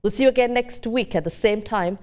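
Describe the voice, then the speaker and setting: A woman hosting a television interview, wrapping up with a smooth, scripted sign-off in a studio. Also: fairly high pitch, fairly quick, a little mellow. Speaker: television talk show host. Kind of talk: broadcast interview wrap-up.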